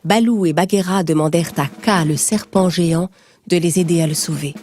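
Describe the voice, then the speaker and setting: Low-voiced woman narrating a children's story. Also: a little fast, background noise. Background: music.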